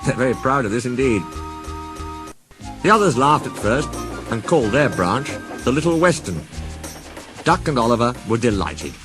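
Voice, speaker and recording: A man's voice: medium pitch, big pitch swings, normal speed, long pauses, music in the background. A man reading a scripted children's story as its narrator.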